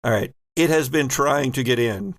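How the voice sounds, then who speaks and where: A man's voice: medium pitch, moderate pace. A man running a tabletop role-playing game as game master, mixing vivid improvised narration with casual, conversational rules talk.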